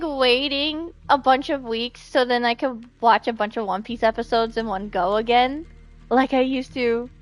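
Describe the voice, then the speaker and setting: A woman with a high-pitched voice hosting a casual game stream. Her pace is slow, but her manner is excitable and giggly as she chats with viewers. Soft music sits in the background. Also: no room sound. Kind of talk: casual live-stream chat, reading out comments.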